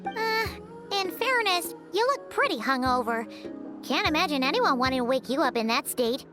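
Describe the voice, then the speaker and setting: A woman voicing a tiny, sassy, childlike fairy companion in a video game, squeaky and exclamatory, calling herself by name. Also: big pitch swings, normal speed, music in the background. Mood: curious. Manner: exclamatory, scolding, refers to self by name. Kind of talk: English video game voice acting.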